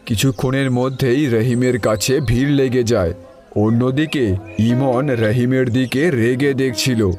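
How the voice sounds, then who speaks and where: A man's voice: mid-range voice, steady tone, measured pace, over music. A man narrating a scripted cartoon story for viewers.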